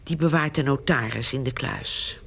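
An actress playing a weary, stubborn old farm mother in a radio play, halting and often breathy. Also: extremely low pitch, fairly bright tone, a little hiss or hum. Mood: hushed, insistent. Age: older. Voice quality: creaky, often breathy, near-whispering. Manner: slow, halting, insistent. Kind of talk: Dutch radio drama acting.

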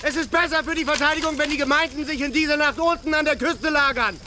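A man's voice: extremely high pitch, fast talker, bright, forceful tone, a little hiss or hum, exaggerated voice. An actor playing a male military commander who barks urgent orders in a radio drama. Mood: commanding.

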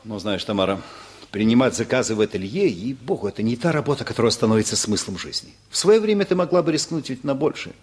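A man's voice: mid-range voice, lively intonation, fast talker, close to an everyday voice. An actor playing a principled, blunt family man who reasons insistently in a stage-style radio play. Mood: reproachful.

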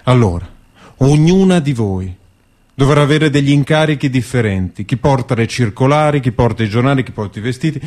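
An actor playing an insistent, committed partisan organiser in a wartime radio drama, urging and colloquial. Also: male voice, mid-range voice, expressive, fairly quick, a little mellow, lightly acted. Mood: instructing, conspiratorial. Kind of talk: naturalistic radio drama acting.

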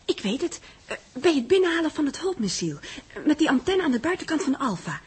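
An actress playing an eager, inquisitive female assistant in a 1960s radio drama, with an animated, questioning manner. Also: high-pitched, fast talker. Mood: curious.

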